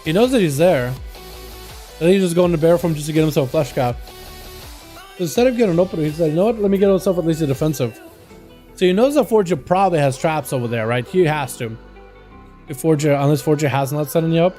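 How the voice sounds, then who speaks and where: High-voiced casual game commentator. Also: male voice, animated, fast, pauses often, warm tone, soft background music. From Russia. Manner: chatty, calls out to chat as "boys". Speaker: livestream host and duel caster.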